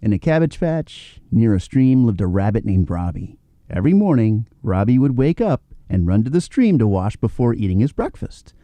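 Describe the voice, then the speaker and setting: A man narrating a children's story as a read-aloud, in a gentle, expressive storytelling manner. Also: on the low side, normal speed, dry recording.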